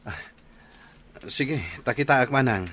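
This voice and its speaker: A man's voice: mid-range voice, a little slow, a bit bright, a little hiss or hum, lightly acted. A man playing a mild, concerned younger brother with a working life in an audio drama. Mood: subdued.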